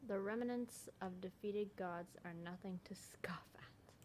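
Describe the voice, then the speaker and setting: A woman game streamer giving casual, expressive, slangy reaction commentary as she plays. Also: medium pitch, unhurried, a little hiss or hum. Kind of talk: casual reaction commentary, reading dialogue choices aloud.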